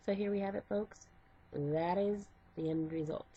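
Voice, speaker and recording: Congested husky woman explaining hair steps. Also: medium pitch, normal speed, warm tone. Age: middle-aged. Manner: step-by-step, conversational, with fillers. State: congested from a cold. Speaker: hairstylist. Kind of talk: casual hair tutorial. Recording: dull lo-fi home recording.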